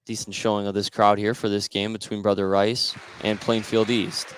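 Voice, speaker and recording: A man's voice: lowish voice, level tone, moderate pace, a bit bright, smooth. An American man doing live radio play-by-play commentary of a basketball game as it unfolds. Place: indoor basketball gym during a game.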